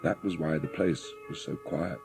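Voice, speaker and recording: A man's voice: extremely low pitch, fairly even intonation, moderate pace, vocal fry. A man narrating a dramatised audiobook story in a scripted storytelling style. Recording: music behind the narration.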